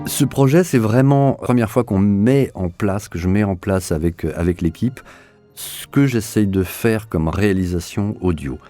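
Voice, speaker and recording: Low, mellow-voiced man explaining his work. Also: normal speed, soft background music. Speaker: audio series director being interviewed. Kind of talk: interview talk, explaining own work.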